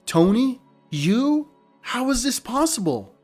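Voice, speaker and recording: A man's voice: very high-pitched, sing-song, slow, soft background music, pitched above the performer's own voice, exaggerated voice. A man voicing a flustered, disbelieving cheating wife in a narrated story. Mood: shocked. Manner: stammering, incredulous.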